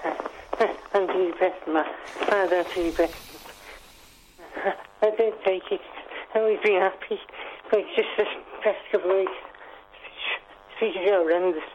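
Halting man on a noisy phone line. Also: high-pitched, steady tone, darkish tone. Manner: halting, repetitive, struggling to get words out. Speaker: caller.

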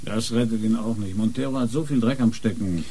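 Husky, cynical cop. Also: male voice, fairly low pitch, a little fast, mellow. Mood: scornful. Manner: scornful, cynical. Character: cynical, scornful male police detective. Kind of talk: radio drama acting.

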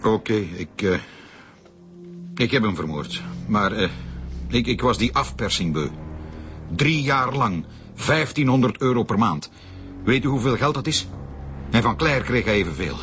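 An actor with a Flemish accent playing a fed-up doctor confessing in a halting manner in a radio drama. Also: male voice, mid-range voice, lively intonation, a bit bright, soft background music, lightly acted. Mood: fed-up confession. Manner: halting, stammering.

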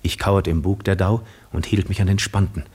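An actor playing a weary, dreamy seafarer who narrates his own voyage in measured, literary 1950s German radio drama style. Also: low voice, fairly even intonation, darkish tone, husky. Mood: wondering, drowsy.

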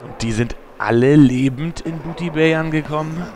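A man's voice: a little high, normal speed, a crowd in the background, natural voice. A man playing a crude, grumbling, reluctant adventurer in a fantasy parody radio play, with noise behind him. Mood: resigned.

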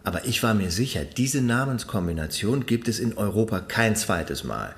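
Earnest, animated male petitioner. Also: fairly low pitch, normal speed. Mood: eager, defensive. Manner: hesitant but persistent, earnest. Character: earnest, eager male multilingual petitioner with a mission. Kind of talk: modern radio drama dialogue.

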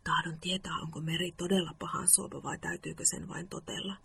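Storybook moomin dad, amateur scholar, played by a woman reader: grave, pompous, earnest, with audible fry. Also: fairly low pitch, flat delivery, bright, forceful tone, a little hiss or hum, full character voice. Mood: confiding. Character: earnest, self-important moomin father, amateur scholar of the sea.

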